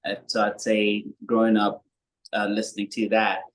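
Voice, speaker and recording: A young man from Kenya, a musician guest, talking in a relaxed, rambling way with many fillers. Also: lowish voice, normal speed. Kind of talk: casual interview chat.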